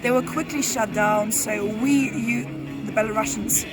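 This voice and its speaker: A woman's voice: fairly high pitch, normal speed. Young woman, fluent articulate English. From Germany. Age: young adult. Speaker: student protester being interviewed. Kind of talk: street interview. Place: outdoor street protest. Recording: loud music outdoors.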